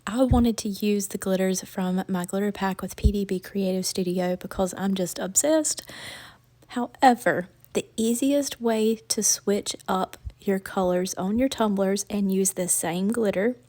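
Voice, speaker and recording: A woman's voice: mid-range voice, a little slow, mellow. A woman narrating a casual craft tutorial in a chatty, folksy way, her voice slightly crackly from feeling under the weather.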